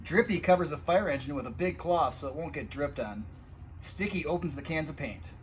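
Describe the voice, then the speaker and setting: Male storytime reader, slightly high voice, expressive and child-directed, some background noise. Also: brisk. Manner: expressive, addressing young listeners with asides and sound effects. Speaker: firefighter reading a children's picture book aloud. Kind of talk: animated storytime read-aloud.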